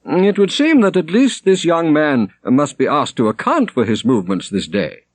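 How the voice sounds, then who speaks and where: A man's voice: a little high, very animated, normal speed, no room sound, voice pushed up. A man voicing a pompous, imperious monastery prior in an audiobook, declamatory and commanding. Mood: demanding, imperious. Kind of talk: audiobook character acting.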